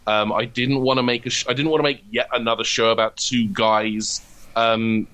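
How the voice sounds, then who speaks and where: A man's voice: mid-range voice, flat delivery, normal speed, a bit bright, clear, resonant tone. A British man from the UK talking casually as an interview guest, explaining his writing in a self-deprecating way. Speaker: guest, comedy writer.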